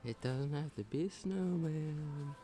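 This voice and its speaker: A man's voice: fairly high pitch, measured pace, over music. A young man chatting casually as the friend behind the camera. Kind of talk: casual chat.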